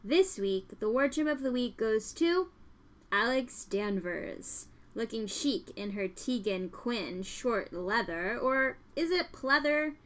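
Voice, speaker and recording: Female co-host, somewhat high-pitched, casual podcast banter and playful mock-presenter segments. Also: lively intonation, slow pace, fairly bright tone, soft background music.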